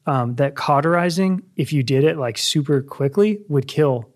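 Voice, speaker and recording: A man hosting a podcast, telling a long story with many fillers and pauses. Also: a little high, expressive. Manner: rambling, filler-heavy. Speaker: podcast host. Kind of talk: casual interview.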